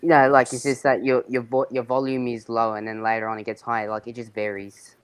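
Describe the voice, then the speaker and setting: A man's voice: mid-range voice, completely flat delivery, brisk, clear, resonant tone. A man talking casually as a panel member in an online group chat.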